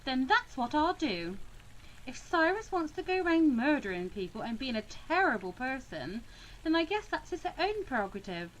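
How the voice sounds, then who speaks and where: A woman's voice: high-pitched, sing-song, normal speed, a bit bright, a little hiss or hum, low-quality recording. A woman voicing a young female student mediator from a visual novel, indignant, reluctant and principled, in an emphatic, sulky way. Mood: sulky, resigned. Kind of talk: visual novel read-aloud.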